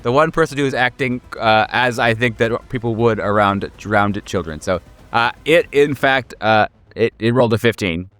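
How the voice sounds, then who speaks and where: A man's voice: mid-range voice, normal speed, a bit bright. A man game mastering a horror tabletop game in casual, improvised narration, joking and swearing freely. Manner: profane, joking.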